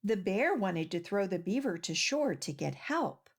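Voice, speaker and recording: A woman hosting a children's storytime, reading aloud and leading rhymes with clear, deliberate phrasing for young listeners. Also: mid-range voice, sing-song. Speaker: children's library storytime host.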